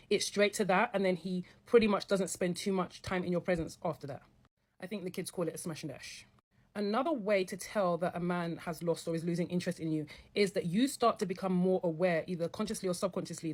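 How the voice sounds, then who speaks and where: A woman with a somewhat low voice speaks at a brisk pace. She lays out each point in an even, explanatory way. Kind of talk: casual advice talk to camera.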